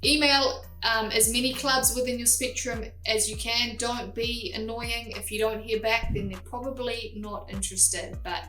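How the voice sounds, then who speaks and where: A woman's voice: a little high, steady tone, moderate pace, few pauses, pushed and bright. Young woman, New Zealand accent, practical and direct, recorded a bit off-mic in an echoey room, faint music behind. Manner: direct, practical, step-by-step tips. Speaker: host. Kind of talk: casual advice vlog. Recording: roomy and a bit distant from the mic.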